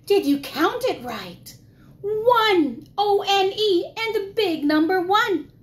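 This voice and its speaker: A woman preschool teacher reading a picture book aloud to young children in an exaggerated, expressive way, asking questions as she goes. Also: very high-pitched, slow. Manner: gasps and drops to whispers for suspense. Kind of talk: animated children's storytime read-aloud.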